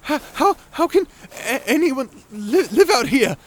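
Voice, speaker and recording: A man's voice: extremely high pitch, big pitch swings, measured pace, a little hiss or hum, heavily acted. A man playing a shy, nerdy young wizard who rambles anxiously, stammering and apologizing in a fantasy audio roleplay. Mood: shivering, whiny.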